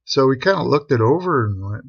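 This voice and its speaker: Middle-aged male storyteller, laid-back and slangy, gravel in the voice, dull lo-fi stream sound. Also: mid-range voice, lively intonation, a little fast, no echo. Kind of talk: casual rambling personal anecdote. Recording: dull, boxy lo-fi live stream audio.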